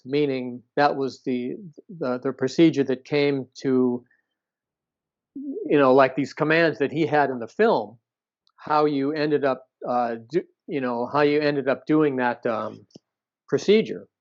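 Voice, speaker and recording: A man with a medium-pitched voice speaks as an interview guest, recounting past experiences. He talks haltingly, doubling back on words to rephrase them. The recording sounds dull and lo-fi.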